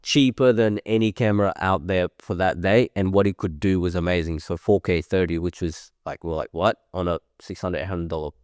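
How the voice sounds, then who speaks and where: Thirties male guest, French first language, low smooth voice, dry close sound, loose rambling chat. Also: steady tone, brisk, few pauses, darkish tone, dry recording. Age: 30s. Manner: chatty, rambling, many fillers. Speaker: guest, creative director. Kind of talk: casual interview.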